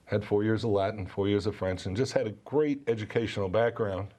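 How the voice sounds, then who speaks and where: A man's voice: fairly low pitch, fairly even intonation, fairly quick, warm tone. A man from New England, a college English professor guest on a local TV show, talking earnestly and enthusiastically. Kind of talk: TV interview guest. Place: TV studio.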